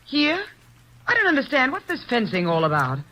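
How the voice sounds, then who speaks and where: An actress playing a nervous young stage actress in a 1940s radio drama, speaking in a tense, defensive way. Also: female voice, sits high, wildly swooping pitch, moderate pace, noisy background. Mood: agitated.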